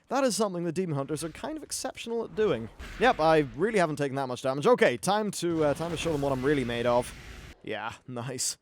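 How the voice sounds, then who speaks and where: A man giving casual live-play game commentary in a chatty, wry, casually sweary way. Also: on the high side. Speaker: gaming video host. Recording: clear background noise and game ambience.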